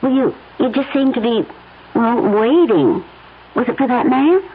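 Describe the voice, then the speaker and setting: An actress in an old-time radio drama playing an affectionate, curious wife who asks gentle, concerned questions. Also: female voice, on the high side, animated, unhurried, slightly rough. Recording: tinny, faintly noisy old radio broadcast.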